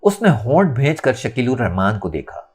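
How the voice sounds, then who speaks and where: A man's voice: medium pitch, sing-song, normal speed, a little mellow, breathy edge. A man reading a novel aloud as dramatic scripted narration. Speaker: audiobook narrator. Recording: music under the voice.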